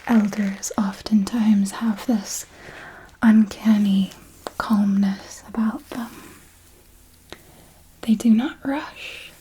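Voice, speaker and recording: A woman's voice: mid-range voice, fairly even intonation, dark tone, a little hiss or hum, rough recording. A woman voicing an elegant, possessive vampire mentor in an ASMR roleplay, whispering breathily and formally, close to the microphone. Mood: calm, instructive. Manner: slow, deliberate, formal, intimate. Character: elegant female vampire mentor, possessive, fond, calmly commanding.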